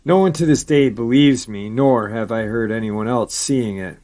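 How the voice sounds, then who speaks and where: A man hosting a paranormal channel, reading viewer-submitted encounter stories aloud in a steady storytelling manner with brief casual asides. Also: mid-range voice, normal speed.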